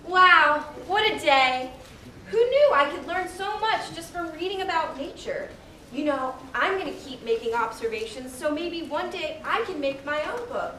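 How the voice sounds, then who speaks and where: A woman's voice: sits high, lively intonation, moderate pace. A woman voicing a curious young girl full of wonder in a live children's puppet show. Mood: inspired. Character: wide-eyed, curious young girl exploring nature. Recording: echoey distant hall with loud audience noise.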